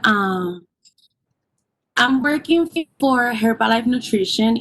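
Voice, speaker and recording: A woman of twenty-one, explaining her business plan earnestly at a public hearing, with halting ums, over a call line. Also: fairly high pitch, poor audio. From Puerto Rico. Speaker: permit applicant. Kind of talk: remote public hearing testimony.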